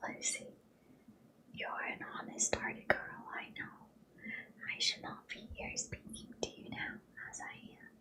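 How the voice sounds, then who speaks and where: A woman's voice: unhurried, bright, forceful tone, rough recording. A woman whispering the part of an earnest, hearty male suitor in a put-on Southern accent. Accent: put-on Southern American.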